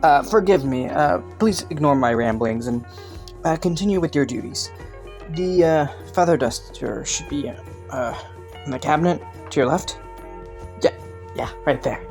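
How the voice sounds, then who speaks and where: A low-pitched young prince played by a woman speaks in an intimate audio drama over faint music. He is courteous and kind, a little hesitant and rambling, with a playful, teasing streak. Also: animated, moderate pace. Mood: polite, flustered. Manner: polite, hesitant, softly teasing.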